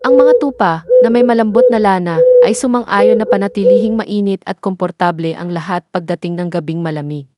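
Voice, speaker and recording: A woman's voice: mid-range voice, expressive, brisk, lo-fi sound, slight background noise. A woman with a Filipino accent narrating a scripted children's bedtime story. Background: music bed.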